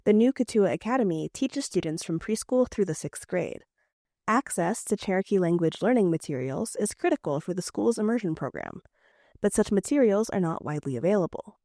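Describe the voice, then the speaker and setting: A woman radio reporter reads a scripted news feature in a measured, clear way. Her voice sits at an everyday middle pitch and is recorded close and dry, with no room sound. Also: animated, mellow, no echo.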